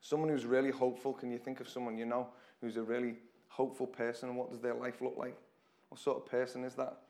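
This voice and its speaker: A man preaches to his church with a hoarse, croaky voice. His style is casual and conversational, full of repeated phrases and small restarts, and his pitch sits in an ordinary middle range. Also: little pitch movement, fast talker, a little mellow. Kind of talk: informal church sermon. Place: church hall with congregation.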